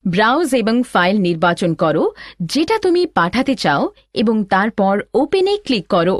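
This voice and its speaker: A woman voicing an instructive elder sister in an animated lesson, giving deliberate, scripted step-by-step tutorial narration. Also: medium pitch, no echo, studio quality, lightly acted.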